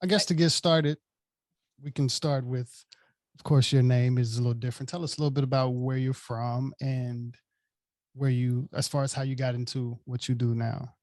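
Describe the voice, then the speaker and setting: Male podcast host, mid-pitched, casual and friendly. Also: fairly even intonation, normal speed, a little mellow, no echo, studio quality.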